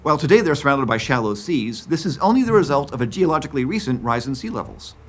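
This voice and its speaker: A man in his fifties giving scripted, clear documentary narration about science. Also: medium pitch, very animated, fast talker. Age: 50s. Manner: clear, explanatory.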